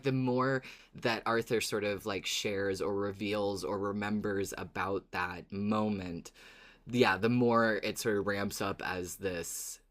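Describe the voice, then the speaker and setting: A man's voice: fairly low pitch, fairly bright tone. A man co-hosting a podcast, chatting casually and recapping in a playful, wry, dramatic way. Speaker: podcast co-host.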